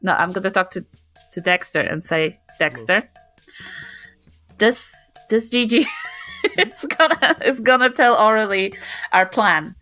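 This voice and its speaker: A woman chatting casually as a player in a tabletop role-playing game, giggly and thinking out loud as she goes. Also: mid-range voice, sing-song, soft background music. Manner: halting, thinking aloud, giggly. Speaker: player in a tabletop RPG podcast.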